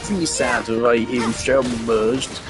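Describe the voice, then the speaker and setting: Blunt male gamer over loud music. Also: mid-range voice, slow. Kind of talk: casual gameplay commentary. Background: loud game music and battle sounds.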